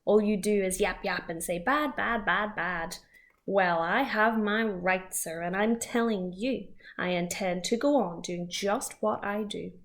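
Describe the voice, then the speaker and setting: A woman's voice: medium pitch, unhurried, close to an everyday voice. A woman voicing a stubborn, greedy male factory owner who talks back defiantly. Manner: defiant and blustering.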